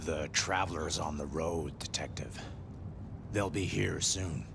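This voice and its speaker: An actor playing a troubled, gentle street mystic in a video game, musing philosophically and often dropping into whispery murmurs. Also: male voice, rumbling low, a little slow, full character voice. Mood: guarded, serene. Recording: clear background noise.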